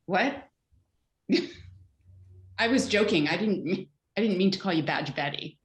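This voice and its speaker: A woman's voice: medium pitch, animated, a little slow, close to an everyday voice. Echoey, brash businesswoman played by a woman. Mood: flustered. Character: brash, foul-mouthed businesswoman under arrest. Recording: echoey, distant room on video call.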